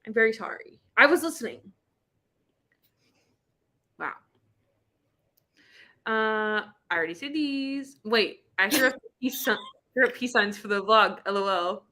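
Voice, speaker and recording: A woman's voice: a little high, expressive, a little slow, long pauses, sharp tone. A woman hosting a casual live book club chat, talking in an informal, slangy, rambling way. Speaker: live show host.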